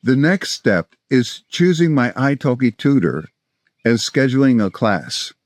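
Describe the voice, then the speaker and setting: A man in his sixties from South Florida with an American accent, presenting scripted language-learning instruction in a measured, explanatory way. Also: mid-range voice, sing-song, dry recording. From South Florida, United States. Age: 60s. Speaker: language-learning video host, polyglot. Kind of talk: scripted instructional narration to camera.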